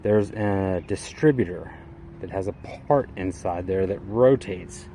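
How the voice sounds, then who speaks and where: A man's voice: low voice, unhurried, mellow, a little hiss or hum. A man giving a casual, unscripted walkaround of his vehicle in a folksy, colloquial way with hobbyist slang. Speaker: vlogger showing his vehicle build.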